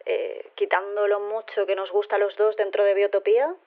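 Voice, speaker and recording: A woman's voice: mid-range voice, level tone, moderate pace, natural voice. Woman acting easygoing phone caller lady, spain accent, casual and matter-of-fact, thin phone sound, radio play. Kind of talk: conversational fiction interview. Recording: tinny narrow telephone line.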